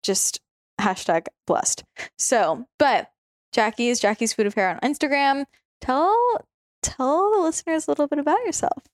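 A woman's voice: high-pitched, normal speed, no echo, clean studio sound. A woman hosting a podcast in casual chat with a friend at home, bubbly, laughing often and swearing lightly. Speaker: podcast host.

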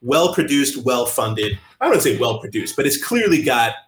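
A man's voice: medium pitch, big pitch swings, normal speed. Wry man in an echoey room. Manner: wry, laughs often, talks in bursts. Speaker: podcast host. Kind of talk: casual podcast chat.

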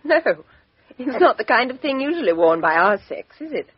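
Cool young lady, crisp and self-assured, husky, lively pitch, muffled old radio sound. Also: normal speed. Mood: composed. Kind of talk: 1950s radio drama acting. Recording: dull old radio broadcast.

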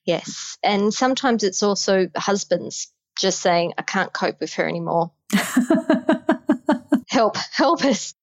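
A woman's voice: medium pitch, slow pace, dry recording, muffled recording. A woman with an Australian accent, a guest on a podcast, giving emphatic, direct advice on health in midlife. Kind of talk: podcast conversation.

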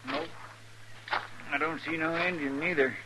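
An actor playing a loyal, excitable frontier sidekick in a 1950s radio western, folksy, with a rural American accent. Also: male voice, on the high side, a little slow, close to an everyday voice. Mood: puzzled, chatty. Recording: noisy old radio recording.

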